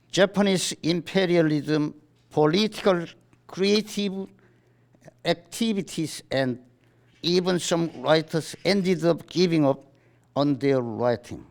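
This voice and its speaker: Korean guy w korean accent reading a formal statement, really slow n halting, voice a bit on the high side. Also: creaky. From South Korea. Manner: halting, deliberate reading in short phrases. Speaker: writers' association chairman giving a statement. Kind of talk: formal read-aloud statement. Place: press conference in a book salon.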